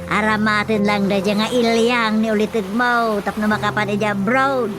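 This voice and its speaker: A woman's voice: mid-range voice, flat delivery, normal speed, over music, lightly acted. A woman with an Ilocano accent playing a fussy, practical, scolding mother in a radio drama comedy, grumbling and emphatic.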